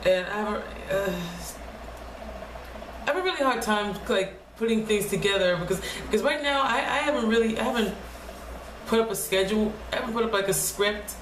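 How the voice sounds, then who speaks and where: Soft-spoken young woman with a deep voice. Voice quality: low, deep voice. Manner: halting, restarts often, soft and quiet. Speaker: vlogger. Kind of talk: casual personal vlog. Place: home room. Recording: echoey room with faint noise.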